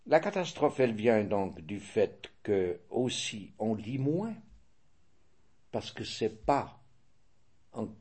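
Older Swiss French man, interviewee, measured and hesitant, lowish husky tone. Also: big pitch swings, darkish tone. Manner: measured, hesitant, restarts and self-corrects. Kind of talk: casual interview answers.

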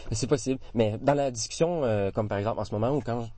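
A man in his forties with a Québécois French accent, talking in a relaxed, hesitant way full of fillers and restarts. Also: medium pitch, fairly quick, dry recording. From Quebec. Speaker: interviewee. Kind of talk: casual conversation.

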